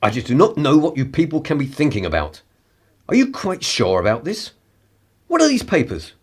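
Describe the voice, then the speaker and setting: An actor playing a pompous, articulate upper-class political radical in a radio play, with a husky voice. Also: male voice, on the high side, wildly swooping pitch, normal speed, heavily acted. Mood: indignant. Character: indignant, haughty upper-class male political radical and spy.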